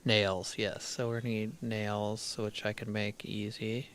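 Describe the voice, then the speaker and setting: A man's voice: fairly low pitch, little pitch movement, measured pace, a bit bright. A man streaming a game live, thinking aloud as he plays, half-muttering his plans, with a crackly voice from a dry throat. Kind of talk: casual live gameplay commentary.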